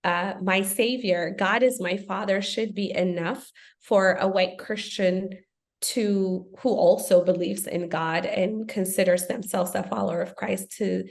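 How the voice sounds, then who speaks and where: A woman's voice: mid-range voice, fairly even intonation, a little slow, vocal fry. A woman from Ethiopia, a podcast guest, speaking in a thoughtful, earnest way with frequent fillers. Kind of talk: podcast conversation.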